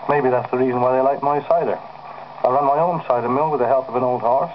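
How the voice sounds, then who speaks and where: A man's voice: mid-range voice, fairly even intonation, a little fast. A man with a dry, wry manner being interviewed on 1950s radio. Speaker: interviewed publican and banjo player. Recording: muffled, hissy 1951 acetate radio recording.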